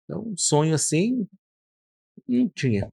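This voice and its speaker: A man's voice: a little high, very animated, unhurried, vocal fry, mellow, slightly rough, no room sound. A man in his thirties, a hairdresser and podcast host, telling his story in an earnest, reflective way. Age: 30s. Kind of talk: casual conversation.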